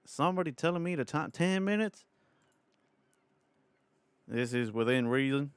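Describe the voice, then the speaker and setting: A man from the United Kingdom streams in a put-on Southern cowboy drawl at a middling pitch. He rambles playfully and self-consciously to his chat, swearing freely. The sound is close and dry. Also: lively intonation, normal speed, clean tone, no room sound. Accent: put-on American Southern cowboy drawl. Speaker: livestreamer talking to chat. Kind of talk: casual livestream chat.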